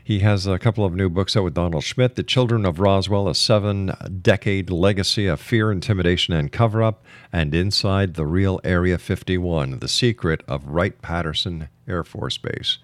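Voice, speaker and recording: A man's voice: low voice, dry recording. A man hosting a talk radio interview show in a courteous, announcer-like manner. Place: broadcast studio.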